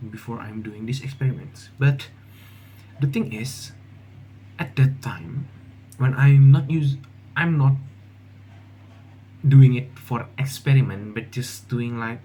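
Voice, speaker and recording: Young Indonesian man, slow halting English. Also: medium pitch, warm tone, a little hiss or hum. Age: young adult. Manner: halting, many fillers and restarts. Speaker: vlogger. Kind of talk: casual monologue.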